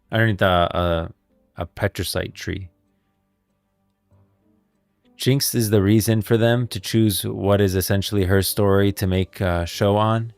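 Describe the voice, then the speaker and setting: A man's voice: deep voice, gravelly, no echo. A man hosting a livestream, talking casually about game lore and rambling as he thinks aloud. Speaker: livestream host.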